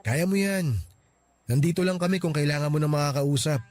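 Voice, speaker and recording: A man's voice: a little high, brisk, close to an everyday voice. An actor playing a young man's close friend who comforts him and teases him along, in a Filipino radio drama. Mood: encouraging. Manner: gentle, reassuring, teasing. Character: supportive joking male friend of the grieving man. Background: soft music.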